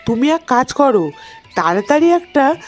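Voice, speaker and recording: A woman's voice: high voice, very animated, moderate pace, faint music behind. A woman playing a worried, practical middle-aged village mother in a cartoon, fretful and urging. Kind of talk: cartoon moral-tale character acting.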